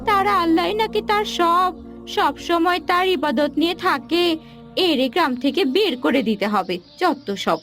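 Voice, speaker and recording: A woman's voice: extremely high pitch, lively intonation, a little slow, fairly bright tone, faint music behind, voice pushed up, exaggerated voice. A woman voicing a spiteful, scornful villager who grumbles and complains in a moral cartoon.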